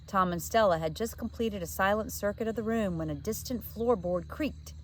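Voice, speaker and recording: A woman narrating a children's story aloud in an expressive read-aloud style, like a teacher. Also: mid-range voice, moderate pace, a little hiss or hum, poor audio.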